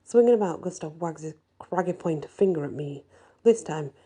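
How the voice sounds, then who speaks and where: A woman reads a first-person fantasy story in an ASMR style, her voice soft, husky and breathy. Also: fairly low pitch, lively intonation, normal speed. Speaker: audiobook-style story reader.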